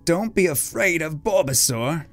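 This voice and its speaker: A performer voicing a gruff, weary old male professor who scolds and lectures but can be kindly. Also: medium pitch, expressive, unhurried, soft background music, pitched above the performer's own voice. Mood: soothing.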